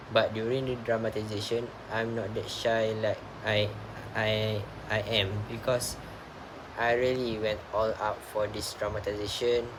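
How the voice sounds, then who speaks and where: A young man sharing a personal reflection in a class presentation, halting and repeating words. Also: lowish voice, noisy background. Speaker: student presenter.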